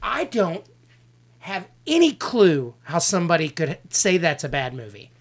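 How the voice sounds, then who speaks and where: A man's voice: fairly high pitch, very animated. A man from the American South with a Southern accent, co-hosting a casual movie review podcast and giving blunt, opinionated takes. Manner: blunt, opinionated, quick to rant. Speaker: podcast co-host; actor.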